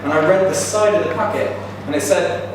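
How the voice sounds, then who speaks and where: Young male stand-up, deadpan, slightly high voice, captured from afar in a pub. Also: fairly quick, background noise. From South East England. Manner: deadpan delivery, laughs at own jokes. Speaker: stand-up comedian. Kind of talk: stand-up comedy set. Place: pub comedy night. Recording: roomy pub sound from far off. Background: audience laughter.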